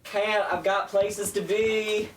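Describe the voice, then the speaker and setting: A man's voice: high-pitched, level tone, slow. A man playing a restless, evasive but loyal teenage brother in a radio play. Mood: casual, evasive. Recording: roomy echo, faint background hiss.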